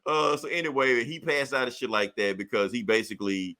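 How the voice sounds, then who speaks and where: A man hosting a podcast, retelling comic book stories in casual, profane slang with animated delivery. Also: medium pitch, brisk. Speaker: podcast host.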